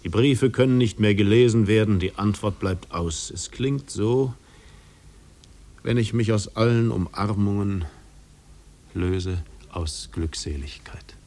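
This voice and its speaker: An actor in a 1950s literary radio drama playing a tormented, world-weary man who speaks gravely and poetically. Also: on the low side, slow pace, dark tone. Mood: tormented, brooding.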